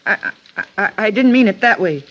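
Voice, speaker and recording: An actress playing an anxious, guarded middle-aged lunchroom keeper in an old radio drama, with a halting delivery. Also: female voice, medium pitch, lively intonation, a bit bright, natural voice. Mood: uneasy, evasive. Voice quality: halting, stammering when nervous. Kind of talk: 1950s radio drama acting. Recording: muffled, noisy old radio recording.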